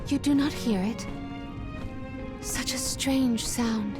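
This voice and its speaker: Game casting: a composed young scholar-poet, high voice, formal and measured, music underneath. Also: female voice. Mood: earnest. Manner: formal, measured, precise wording. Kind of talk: video game voice acting.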